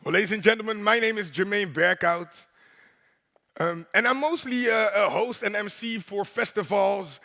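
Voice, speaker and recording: A man hosting the opening of a congress on stage, with a very deep voice, talking in a playful, rhythmic way and drawing in the crowd. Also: normal speed, gravelly, sharp tone. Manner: playful, rhythmic, crowd-engaging with repeated "right?". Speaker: host and MC. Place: large conference hall. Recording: long hall reverb.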